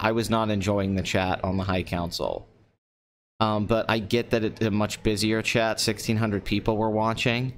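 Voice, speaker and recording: A young man in his late twenties talks into a desk microphone with a slightly low voice. His delivery is flat and deadpan, kept low and calm. A faint hiss of background noise sits under the recording. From Pennsylvania. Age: 20s. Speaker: live-stream host. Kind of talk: casual solo live-stream talk. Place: nearly empty apartment.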